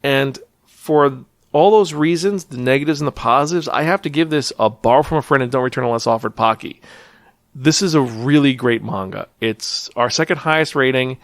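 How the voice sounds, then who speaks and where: A man's voice: mid-range voice, normal speed, no room sound, clean studio sound. A man hosting a review podcast in a casual, enthusiastic and emphatic way, speaking directly to listeners. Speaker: podcast host and manga reviewer.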